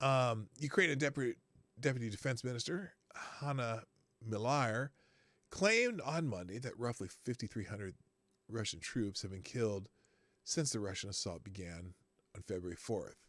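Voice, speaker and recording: Male streamer, mid pitch, dry close sound, stop-start delivery while skimming news. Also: animated, fairly bright tone, dry recording. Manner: halting, many restarts and fillers, reads articles aloud, chats with viewers. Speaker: host of a live news commentary stream. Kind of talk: live-stream news commentary, reading headlines and chat.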